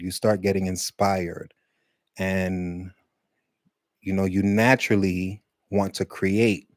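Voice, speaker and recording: A man teaching English to adult learners in a loose, rambling talk, full of fillers and slowing down to stress key points. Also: deep voice, a little mellow, no room sound, studio quality. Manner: conversational, many fillers, speeds up and slows for emphasis. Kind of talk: casual rambling lesson talk.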